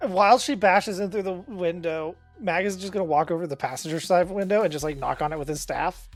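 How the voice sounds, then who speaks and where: Female tabletop player, slightly low register, casual conversational delivery with music behind. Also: a little fast. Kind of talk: casual chat.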